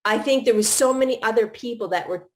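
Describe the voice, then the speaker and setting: Middle-aged woman, host, slightly high voice, loose run-on conversational delivery. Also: a little fast. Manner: warm-hearted, talks in long run-on thoughts with restarts. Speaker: podcast host. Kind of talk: casual live chat.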